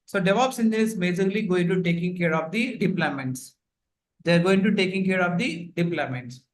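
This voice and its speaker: Man, high voice, online lecture, rambling filler-heavy explaining, computer mic. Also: a little fast. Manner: repetitive, filler-heavy explaining with frequent "like, you know". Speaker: instructor. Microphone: computer mic on a video call.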